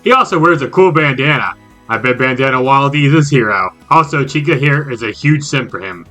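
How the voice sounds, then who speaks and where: A man's voice: medium pitch, lively intonation, brisk, bright, forceful tone. A man narrating a scripted video game review as host, with jokey asides. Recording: music under the voice. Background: background music.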